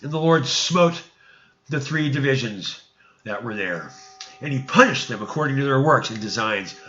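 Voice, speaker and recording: A dull, lo-fi recording of a man with a mid-pitched voice reading old scripture aloud. He narrates deliberately, like a storyteller, and now and then trips over a word. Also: expressive, bright, forceful tone. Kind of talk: read-aloud narrative of an ancient religious text.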